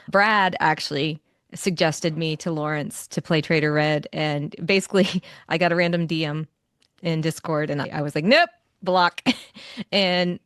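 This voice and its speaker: Chatty female guest, slightly low pitch, dry sound, folksy and self-deprecating storytelling. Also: clean tone, no room sound. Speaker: voice actor and executive producer. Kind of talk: casual anecdote-telling.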